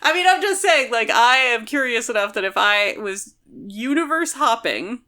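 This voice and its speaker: Bright-voiced woman chatting about books. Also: fairly high pitch, animated, moderate pace. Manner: opinionated, argues points at length. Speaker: podcast co-host. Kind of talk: casual book discussion.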